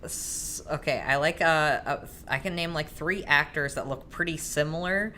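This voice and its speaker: Very low-pitched woman, halting. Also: pushed and bright, a little hiss or hum. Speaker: podcast co-host. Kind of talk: casual group chat.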